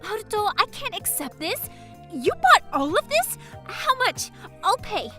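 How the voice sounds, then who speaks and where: Manga dub heroine: woman plays a proud, good-hearted schoolgirl, high and bright. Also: big pitch swings, a little slow, faint music behind, full character voice. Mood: uneasy. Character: proud, kind, poor high school girl.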